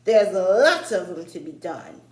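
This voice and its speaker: A woman's voice: on the low side, very animated, unhurried. A woman voicing a stern, curt old male farmer in a read-aloud folktale, with a brusque, folksy, commanding manner. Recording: roomy echo, faint background noise.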